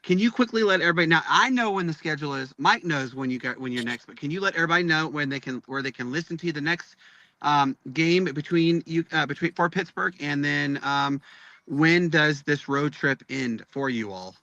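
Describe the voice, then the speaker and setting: A man's voice: a little high, brisk, bright, forceful tone, no room sound. A man hosting a sports show in a casual, effusive interview style, on a somewhat dull, lo-fi recording.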